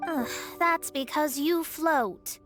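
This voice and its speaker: A woman playing a proud, sharp-tongued young astrologist in a video game, with haughty, formal, ornate delivery. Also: sits high, takes it slowly, over music. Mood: weary, haughty. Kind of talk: voiced video game dialogue.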